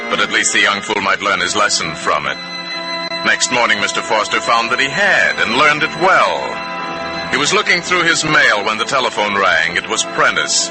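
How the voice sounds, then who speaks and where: A man narrating an old-time radio drama in a measured, wry, literary storytelling manner. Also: on the low side, big pitch swings, rough recording. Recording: radio drama with music underscore.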